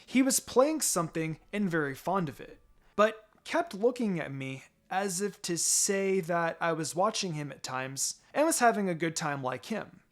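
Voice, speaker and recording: A man reading a scripted story aloud in an expressive, dramatic narrating style. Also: high voice, normal speed. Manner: expressive, voices the dialogue. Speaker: fan fiction reader. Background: background music.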